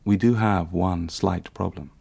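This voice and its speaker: A deep, gravelly professional man. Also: steady tone, a little slow, mellow, exaggerated voice. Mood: polite, assured. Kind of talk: radio drama acting.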